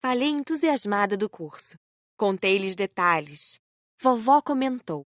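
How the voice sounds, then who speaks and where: A woman's voice: medium pitch, big pitch swings, a little slow, dry recording, studio quality. A woman reading scripted first-person narration as an audiobook narrator.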